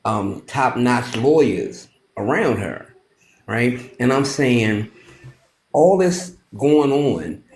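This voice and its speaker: A man in his late fifties from Maryland calls in to a podcast. He speaks haltingly and keeps restarting his sentences. His middle-pitched voice sounds roomy and echoey. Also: expressive, a little mellow. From Maryland, USA. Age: 50s. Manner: halting, repetitive, with many restarts. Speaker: caller. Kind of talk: casual live-stream chat.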